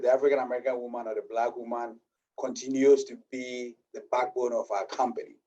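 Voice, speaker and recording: A man with a Ghanaian accent, a business owner answering interview questions in a steady, earnest way. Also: mid-range voice, distant mic, lo-fi sound.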